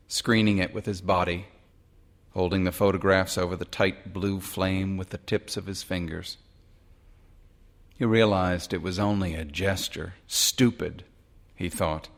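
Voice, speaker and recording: A man narrating a literary short story in a measured, dramatic way. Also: low voice, creaky. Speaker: audiobook-style short story reader.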